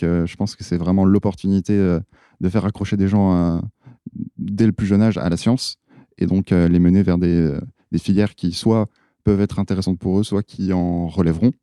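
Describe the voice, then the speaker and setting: Low-voiced hesitant young man. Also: no room sound, clean studio sound. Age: 20s. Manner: hesitant, many fillers, relaxed. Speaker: student. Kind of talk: interview answers.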